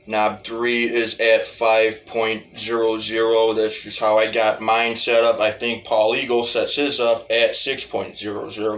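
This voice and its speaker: A man giving a casual, rambling demo of music gear, halting and repeating his phrases as he goes. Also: fairly low pitch, fairly bright tone. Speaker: hobbyist musician reviewing talk box gear.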